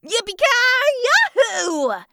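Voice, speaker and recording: Woman voicing an excitable, drawling would-be cowboy. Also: sits high, slow pace, no echo, studio quality, voice pushed up, exaggerated voice. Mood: excited, shouting. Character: excitable cowboy-obsessed male birthday boy, boisterous and cheerful. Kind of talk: children's audiobook character voice.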